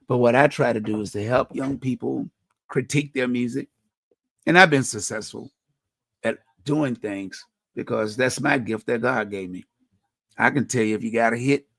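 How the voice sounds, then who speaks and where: A middle-aged man with a deep voice, hosting a community radio talk show and speaking earnestly about faith and helping others, often restarting phrases. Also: normal speed, vocal fry, fairly bright tone, no room sound. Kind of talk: reflective personal talk.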